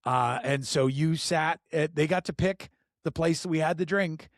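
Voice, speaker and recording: A middle-aged man hosts a podcast with bubbly enthusiasm, his voice sitting a little high. He laughs often and leans on plenty of ums and uhs. The sound is close and dry. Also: moderate pace, no room sound. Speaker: podcast host. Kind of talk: casual podcast chat.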